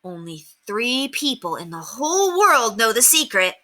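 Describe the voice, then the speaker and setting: A woman's voice: fairly high pitch, sing-song, a little slow, bright, forceful tone, pitched above the performer's own voice, exaggerated voice. A woman voicing a desperate, scheming school-age boy in a storybook, urgent and coaxing.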